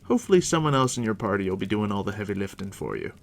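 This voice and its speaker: Man, ASMR game master, soft deep whisper, heavy vocal fry, unhurried, reassuring, close mic. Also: expressive. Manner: unhurried, reassuring, talking directly to the listener. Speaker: game master guiding a new player.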